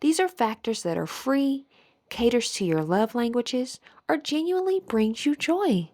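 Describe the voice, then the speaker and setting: Slow, high-voiced woman guiding meditation. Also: animated, a little mellow. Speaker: guided meditation instructor. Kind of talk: guided meditation narration.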